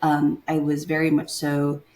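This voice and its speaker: Young woman guest from Taiwan, low voice, chatty and hesitant, lots of fillers. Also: monotone, measured pace, a little mellow, a little hiss or hum. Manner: hesitant, searching for words with many fillers. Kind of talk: casual interview conversation.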